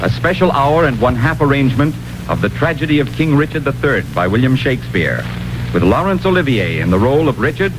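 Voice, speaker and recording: A man's voice: mid-range voice, very animated, a little fast, poor audio. A man making a formal 1930s radio announcement. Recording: vintage radio recording, music behind.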